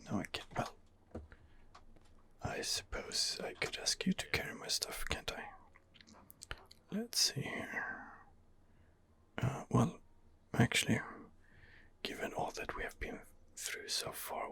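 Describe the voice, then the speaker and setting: Casting: male ASMR gamer, whispered and creaky, hesitant, musing delivery at close mic. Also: medium pitch, very animated, unhurried, bright, forceful tone, dry recording. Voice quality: whispered, breathy. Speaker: gamer narrating his role-play playthrough. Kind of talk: ASMR gaming commentary.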